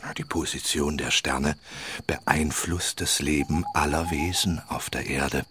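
An actor playing a wise, kindly, wistful old half-dwarf tutor in a children's radio play, speaking solemnly and deliberately. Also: male voice, extremely low pitch, steady tone, bright, forceful tone, slight background noise, heavily acted. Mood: solemn, reverent. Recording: music behind the voice. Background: clear background music.

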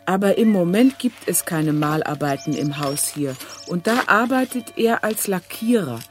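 A woman's voice: fairly low pitch, lively intonation, moderate pace, faint music behind, natural voice. An actress in a German radio crime play playing a naive, devoted woman, earnest and hesitant as she reads letters aloud. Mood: earnest reading. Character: naive, devoted woman admiring a jailed lover.

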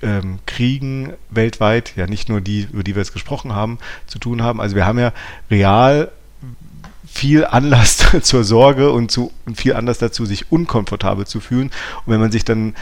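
A man's voice: medium pitch, moderate pace. A man co-hosting a podcast, talking analytically with hesitant starts and frequent rephrasing. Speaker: podcast co-host.

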